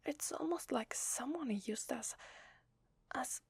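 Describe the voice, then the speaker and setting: A woman with an Italian accent playing a gentle, apologetic vampire in an ASMR roleplay, whispering softly and hesitantly close to the microphone. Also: sits high, slow pace, dry recording, full character voice. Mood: shy, confessional. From Italy. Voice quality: soft breathy whisper. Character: gentle, apologetic female vampire confiding in a human. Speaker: ASMR voice actor. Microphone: close microphone, dry.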